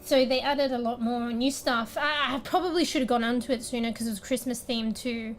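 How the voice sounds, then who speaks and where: A young woman streamer, a little raspy today, chatting casually at home into a desk mic, rambling, laughing a lot and swearing now and then. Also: on the high side, a little fast, slight background noise. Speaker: game streamer. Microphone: desk microphone with fan blowing on it. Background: soft background music.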